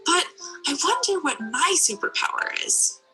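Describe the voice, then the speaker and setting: A woman voicing an eager, imaginative little girl in a children's storybook, excited and full of wonder. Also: extremely high pitch, moderate pace, soft background music, voice pushed up, full character voice, low-quality recording. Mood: wondering, hopeful.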